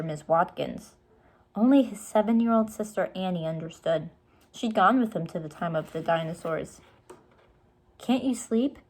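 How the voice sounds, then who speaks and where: A woman reading a storybook aloud to young children, pausing to explain things to them. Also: fairly low pitch, darkish tone. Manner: explains words and asks questions for young listeners. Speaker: storybook reader.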